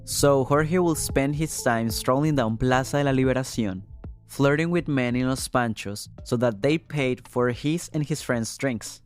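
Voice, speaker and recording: A man's voice: mid-range voice, a little slow. A man hosting and reporting a podcast, reading scripted first-person narration. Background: music.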